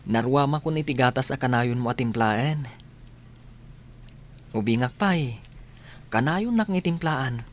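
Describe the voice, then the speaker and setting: A performer playing a soft-hearted, grateful young male student in a radio drama, speaking politely and earnestly. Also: mid-range voice, lively intonation, unhurried, pauses often, natural voice. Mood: affectionate. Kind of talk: Filipino radio drama acting.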